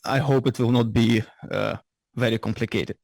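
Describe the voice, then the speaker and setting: Ukrainian-Scottish man with gravelly voice. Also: mid-range voice, fairly even intonation, darkish tone, no echo, clean studio sound. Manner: halting, slightly ungrammatical English with frequent restarts. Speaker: invited engineer speaker. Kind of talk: lecture presentation. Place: lecture room with audience.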